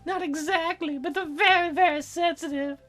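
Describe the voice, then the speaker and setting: Bossy young fairy girl for a visual novel, voiced by a man, squeaky high falsetto, huffy and animated, music underneath. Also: moderate pace. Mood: earnest. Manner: petulant, demanding. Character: bossy, bratty young cloud fairy girl, easily offended.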